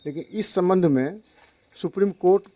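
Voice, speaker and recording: A man's voice: high voice, moderate pace, dark tone, dry recording. A man giving a short political comment to the press as a politician. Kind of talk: political soundbite.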